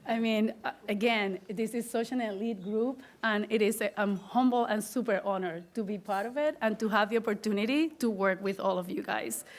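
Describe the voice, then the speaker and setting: A woman's voice: mid-range voice, moderate pace, hardly any pauses. A middle-aged woman with a strong Puerto Rican accent, giving an earnest, grateful acceptance speech as an honored teacher, often restarting her phrases. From Puerto Rico.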